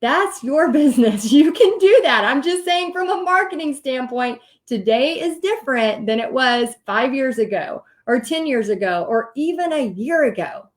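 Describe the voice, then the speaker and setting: A woman's voice: high-pitched, animated, normal speed, hardly any pauses. A middle-aged woman with a Texan accent, talking straight to her viewers in a chatty coaching livestream, laughing often. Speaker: host teaching paint party business. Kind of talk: casual livestream coaching talk.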